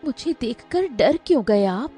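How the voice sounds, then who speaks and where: Woman playing an earnest, expressive young heroine. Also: fairly high pitch, measured pace, faint music behind, voice pushed up. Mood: earnest, pleading. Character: earnest, lovelorn young village woman, animated tale heroine.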